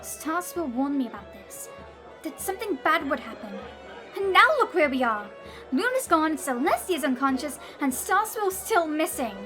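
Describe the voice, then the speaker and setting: Young pony traveler, earnest n polite, really high and animated, audio drama with music under. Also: female voice, normal speed. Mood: worried, explaining. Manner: polite, eager, emotional.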